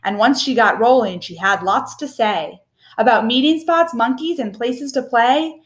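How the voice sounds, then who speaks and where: A woman reads a children's picture book aloud expressively, with a measured, rhythmic delivery of rhyming verse. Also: sits high.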